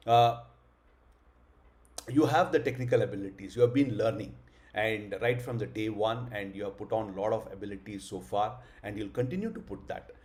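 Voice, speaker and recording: Earnest man teaching online, lowish voice. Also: moderate pace, a little mellow. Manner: earnest, many "uh" fillers, asks students rhetorical questions. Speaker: school co-founder giving an online class. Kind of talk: live online lecture. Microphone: video call.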